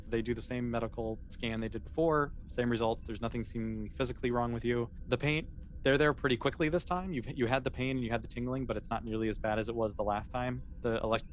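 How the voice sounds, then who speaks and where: A man running a tabletop sci-fi game as game master, improvising narration and explaining in an informal, halting way with self-corrections. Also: mid-range voice. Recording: clearly noisy recording.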